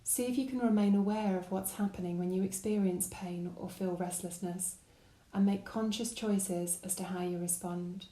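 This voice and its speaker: Mellow woman guiding a meditation. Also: mid-range voice, echoey room. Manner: gentle, unhurried instruction with calm phrasing. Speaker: meditation guide. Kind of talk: guided body scan meditation narration.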